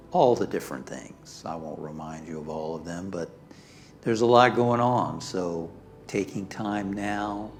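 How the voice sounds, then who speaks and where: Slow, low-voiced man guiding a meditation. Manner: calm, soothing, pausing between short phrases. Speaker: minister leading a guided meditation. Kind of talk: guided meditation. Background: background music.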